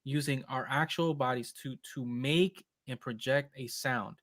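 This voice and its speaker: A percussionist in a casual live video chat, talking in an animated, fast way and now and then slipping into Spanish phrases. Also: male voice, medium pitch, no room sound, studio quality. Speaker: percussionist guest.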